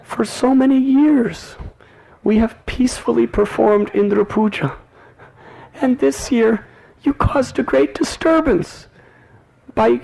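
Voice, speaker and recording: A man doing an anxious village mother whose voice climbs to a thin, high wail as she frets over her son, drawn out and slow. Her tone is rough and gravelly underneath, pleading and tearful throughout the devotional tale. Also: a little mellow, full character voice, low-quality recording. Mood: scolding. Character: anxious loving mother, middle-aged village woman. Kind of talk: devotional story telling.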